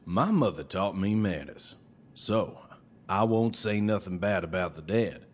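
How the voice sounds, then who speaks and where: A man's voice: low-pitched, animated, unhurried. Brash Southern salesman, big swooping delivery. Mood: disdainful, folksy. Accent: American South. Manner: folksy, colourful drawling idioms, needling. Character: brash, folksy Southern salesman, sly and aggrieved. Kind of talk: scripted audio drama acting.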